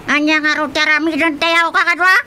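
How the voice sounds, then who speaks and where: A woman playing a solemn guardian whale in a radio drama, speaking in a drawn-out, admonishing way with an Ilocano accent. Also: sits high, little pitch movement, very noisy, lightly acted. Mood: grave. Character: talking female whale, solemn guardian of the sea. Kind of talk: radio comedy-drama acting.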